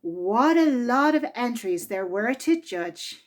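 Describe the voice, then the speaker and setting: Female storytime reader, high voice, very unhurried pace, expressive delivery pitched at young children. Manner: deliberate, expressive reading aimed at young children.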